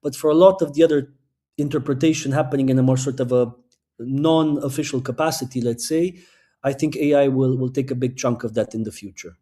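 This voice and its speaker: Man, mellow warm tone, podcast guest, thoughtful, long explanations, frequent restarts. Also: medium pitch, fairly even intonation, fairly quick. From Romania. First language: Romanian. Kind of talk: casual interview talk.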